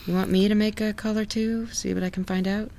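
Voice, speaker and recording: A woman's voice: medium pitch, little pitch movement, brisk, creaky, mellow, a little hiss or hum, close to an everyday voice. A female actor in a satirical audio drama, playing a frank, amorous woman who murmurs intimately and asks probing questions.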